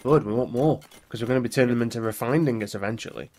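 A man's voice: mid-range voice, racing, darkish tone. A man with a stuffy, congested voice from a cold, chatting casually during co-op gameplay. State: has a cold, run down. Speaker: gaming video host.

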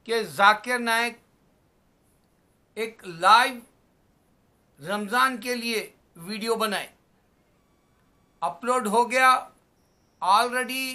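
An older man in his sixties with a very high, bright, forceful voice. He speaks slowly in measured phrases, exhorting his listeners informally but emphatically, close to a webcam in a small room at home. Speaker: religious vlogger. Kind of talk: informal religious talk to camera. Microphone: webcam or phone at arm's length.

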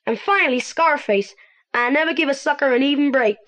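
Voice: sits high, brisk, fairly bright tone. A young performer playing a confident teenage boy detective in a children's audio play, eager and articulate.

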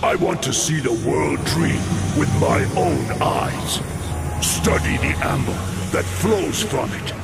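A man's voice: a little high, lively intonation, gravelly, loud music behind the voice, heavily acted. An actor playing an earnest, respectful and resolute aspiring shaman in a fantasy video game, speaking solemnly. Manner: solemn, measured. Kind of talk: dramatic video game acting.

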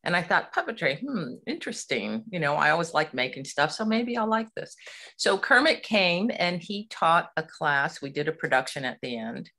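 A middle-aged woman from Hawaii, a puppeteer, chatting in an interview with lively, laughing talk. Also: low voice, normal speed. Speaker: puppeteer guest. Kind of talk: casual interview chat.

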